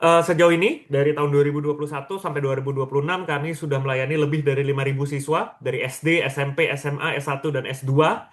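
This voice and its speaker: A man's voice: fairly high pitch, fairly even intonation, quick pace, hardly any pauses. A man, presenting informally to an online audience and often checking in with listeners. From Lombok, Indonesia. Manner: conversational, checks in with listeners using questions and tags. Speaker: webinar speaker, education practitioner.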